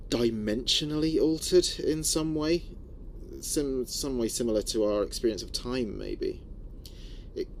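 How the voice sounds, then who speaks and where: A low-voiced, haunted crewman played by a man records his log in a sci-fi horror audio drama. He speaks slowly and gravely, breaking his phrases with heavy pauses, weary and afraid, with some noise behind him. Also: a little mellow. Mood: weary, anxious.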